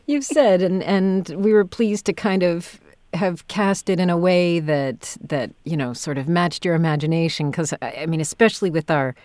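A woman with a slightly low voice speaks as a podcast host in a very dry, close acoustic. Her delivery is conversational and thoughtful, with pauses and rephrasing as she goes. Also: darkish tone, no echo. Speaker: podcast host, audio drama director and audiobook narrator. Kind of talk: conversational interview.

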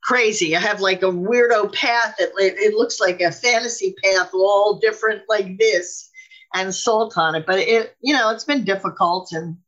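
Bright-voiced middle-aged woman, chatty storyteller. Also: medium pitch, normal speed, highs cut off. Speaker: talk show co-host. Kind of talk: casual personal storytelling.

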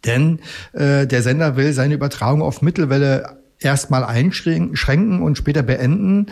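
A man speaking as an expert guest on a radio show, explaining casually and a little haltingly. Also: a little high, vocal fry, a little mellow.

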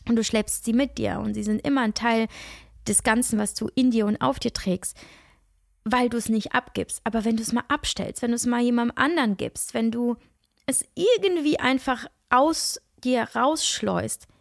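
Nasal-sounding woman with a gravelly edge. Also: on the high side, moderate pace, no echo, clean studio sound. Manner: earnest, searching, many restarts and soft asides. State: nasal from a cold. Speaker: podcast host and coach. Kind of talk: reflective solo podcast monologue.

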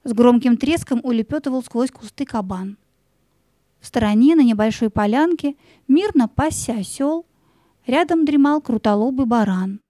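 A woman narrating a children's story in an expressive, suspenseful, dramatic scripted style. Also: a little high, normal speed, no echo, clean studio sound.

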